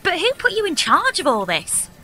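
A woman's voice: very high voice, wildly swooping pitch, moderate pace, sharp tone, exaggerated voice. An actress playing a wounded, exasperated daughter in her thirties in an audio comedy, bluntly pressing for answers. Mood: suspicious. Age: 30s. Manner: blunt, insistent, aggrieved. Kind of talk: audio comedy drama acting.

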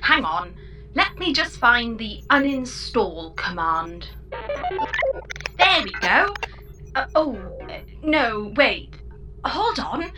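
A woman's voice: a little high, big pitch swings, slow, pauses often, over music. A woman playing a perky, cheeky spaceship computer in a comedy sci-fi audio drama, theatrical and fussy. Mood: flustered, fussy. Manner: theatrical, fussy, self-amused.

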